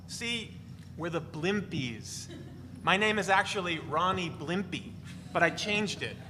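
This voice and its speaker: Man playing a bright-voiced glib tall-tale teller, echoey hall. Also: on the high side. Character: glib, teasing man spinning deadpan tall tales. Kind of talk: dramatic reading of a novel before an audience. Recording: noisy, reverberant hall recording.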